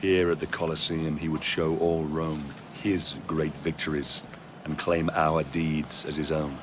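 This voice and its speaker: A man's voice: a bass voice, flat delivery, measured pace, background noise. A man playing a grim, vengeful Roman soldier turned gladiator, narrating his tale in a dramatic game cutscene. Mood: grim resolve.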